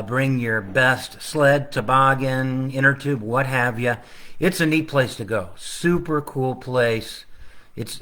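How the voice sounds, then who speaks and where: A man's voice: mid-range voice, pushed and bright. A man hosting a casual livestreamed storytime at home, reading his picture book aloud with chatty, rambling, playful asides to the audience. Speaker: children's author reading own book aloud. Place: at home, living room.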